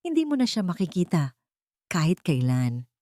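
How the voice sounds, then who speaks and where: A woman's voice: fairly low pitch, very animated, normal speed, dark tone, dry recording, studio quality. A woman voicing a menacing, falsely sweet wealthy matriarch in an audio drama. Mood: cold threatening. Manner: controlling, deceptively sweet turning icy.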